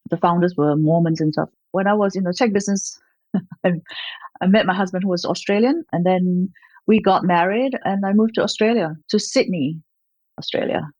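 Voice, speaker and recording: Rambling woman, lowish voice. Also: normal speed, darkish tone, no room sound, highs cut off. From Malaysia. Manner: rambling, with frequent fillers and light laughs. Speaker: gallerist, guest. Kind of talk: conversational interview.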